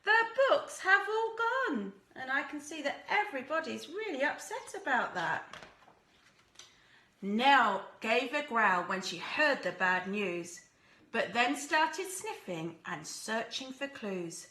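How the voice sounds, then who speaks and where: Lady reading kids a story, high lively voice, really expressive, bit echoey room. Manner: animated, deliberate, acts out characters and asks listeners questions. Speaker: teacher reading a story to children. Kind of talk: expressive storybook read-aloud for young children.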